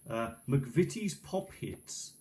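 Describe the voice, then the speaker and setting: A man's voice: mid-range voice, very animated, slow, mellow. A middle-aged man giving a casual, rambling show-and-tell at a kitchen table, hesitant and musing aloud, recorded on an old tablet's microphone. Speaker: video maker presenting old cassette tapes. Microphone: built-in microphone of an ageing iPad. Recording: clearly noisy tablet recording with clicks.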